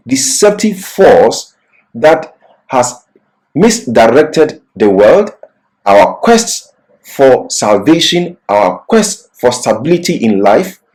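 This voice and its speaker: Man teaching scripture with lively, swinging pitch. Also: poor audio. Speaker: Bible teacher. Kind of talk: expository Bible lecture.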